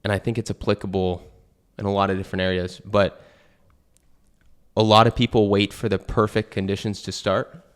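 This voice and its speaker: A man's voice: fairly low pitch, little pitch movement, moderate pace, smooth. A young American man hosting a casual conversation, talking earnestly and thinking aloud with many fillers. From United States. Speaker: podcast host and new real estate agent. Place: Portugal.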